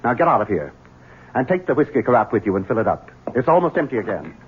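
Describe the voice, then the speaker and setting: An actor playing a domineering, nervous son in a 1970s radio drama, stammering one moment and curt the next. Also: male voice, medium pitch, big pitch swings, fast talker, slightly rough. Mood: cold. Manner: stammering when caught off guard, curt and commanding otherwise. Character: domineering Southern son, nervous, drinking and cruel. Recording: narrow-band old radio broadcast.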